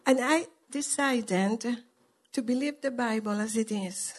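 High-pitched woman preaching, non-native English. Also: slow, husky. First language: not English. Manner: emphatic, repetitive, short simple phrases. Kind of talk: sermon with pauses for an interpreter.

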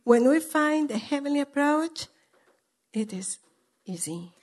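High-pitched woman preaching, non-native English. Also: animated, takes it slowly, darkish tone. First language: not English. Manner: emphatic, repetitive, short simple phrases. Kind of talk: sermon with pauses for an interpreter.

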